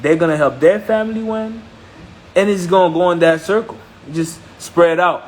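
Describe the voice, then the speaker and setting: Man, from Haiti, fairly high-pitched, blunt, emphatic, repetitive, motivational live-stream mentor. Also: normal speed. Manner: blunt, emphatic, repetitive, often checking with listeners.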